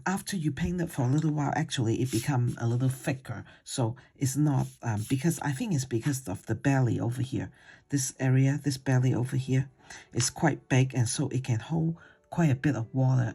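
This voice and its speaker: Art teacher rambling thru a paint-along, mellow husky voice, lots of ums. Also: mid-range voice. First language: Cantonese. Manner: rambling and chatty, with many hesitations and fillers; simple, non-native grammar. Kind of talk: casual painting tutorial talk.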